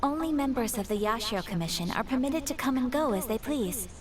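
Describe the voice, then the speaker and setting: Actress as refined young game noblewoman, high voice, formal, gentle, measured, slightly distant. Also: animated, natural voice. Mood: composed, formal. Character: poised, gracious young noblewoman, gentle and earnest. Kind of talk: scripted game dialogue.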